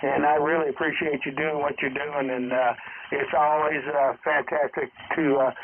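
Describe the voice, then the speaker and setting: Higher-voiced man over a thin radio link. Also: little pitch movement, normal speed. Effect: radio transmission. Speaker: ham radio operator calling in. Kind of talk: friendly radio sign-off chat. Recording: narrow radio link with clear static.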